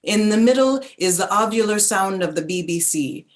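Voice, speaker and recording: A woman narrating a staged literary reading in a measured, lyrical, dramatic way. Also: mid-range voice, expressive.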